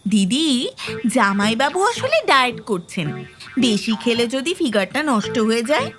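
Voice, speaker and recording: An actress playing an impish young sister-in-law in a comic audio drama, sly and mocking. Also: female voice, on the high side, big pitch swings, normal speed, a bit bright, soft background music. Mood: teasing.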